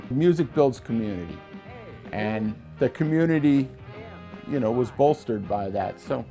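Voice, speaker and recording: A man's voice: medium pitch, expressive, measured pace, music in the background. An American man in his sixties, a musician, casually reminiscing in an interview. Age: 60s. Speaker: musician and songwriter in a band.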